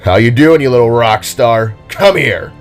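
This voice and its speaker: Man voicing a cocky, slangy big-brother dude, swinging pitch. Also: animated, normal speed, faint music behind, lightly acted. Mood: upbeat teasing. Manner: slangy, teasing, stammers when caught out. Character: playful cocky big-brother young guy, a water-headed humanoid. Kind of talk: audio drama character voice.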